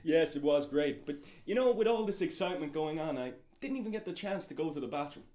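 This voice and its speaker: Man acting animated, flustered TV awards host. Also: on the high side, fast, roomy sound, lightly acted. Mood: showy cheer. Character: flustered, exasperated male awards-show TV host named Tom. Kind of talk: comedy sketch presenting.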